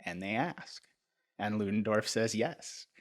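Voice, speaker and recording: A man hosting a podcast, telling history in a casual, animated way, peppered with "like" and "right?". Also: lowish voice, measured pace. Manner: animated, filler-heavy, keeps checking in with "right?". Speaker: podcast host.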